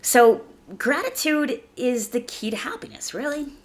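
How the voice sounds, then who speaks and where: A woman hosting a casual motivational podcast, speaking conversationally and earnestly, slightly raspy from a chest cold. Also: high-pitched, measured pace. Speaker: podcast host.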